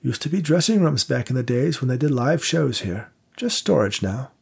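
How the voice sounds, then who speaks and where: A man's voice: mid-range voice, very animated, normal speed, creaky, husky, pitched above the performer's own voice, heavily acted. A man voicing a frail, courtly theater manager in his late nineties, nostalgic and quavering. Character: frail genteel old male theater manager, 97.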